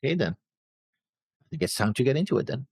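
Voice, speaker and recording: A man co-hosting a reaction show in casual banter, explaining himself in a halting, rambling way. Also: mid-range voice, very animated, darkish tone, husky, dry recording, studio quality.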